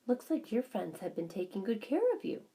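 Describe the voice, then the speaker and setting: A woman's voice: a little high, sing-song, normal speed, dark tone, pitched above the performer's own voice. A woman voicing a blunt but caring older wife, direct and emphatic, in a children's audiobook. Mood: friendly. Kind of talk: children's audiobook character dialogue.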